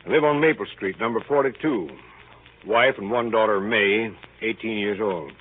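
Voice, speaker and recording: An actor playing a weary middle-aged small-town father, speaking in a clipped, deadpan way in a 1930s radio drama. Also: lowish voice, normal speed, slight background noise, natural voice. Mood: dry, resigned. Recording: muffled band-limited 1930s radio recording.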